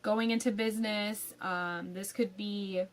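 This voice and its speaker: A young woman giving a casual tarot forecast, a little raspy from a cold, chatty with frequent ums and likes. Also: mid-range voice, steady tone, unhurried. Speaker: tarot reader.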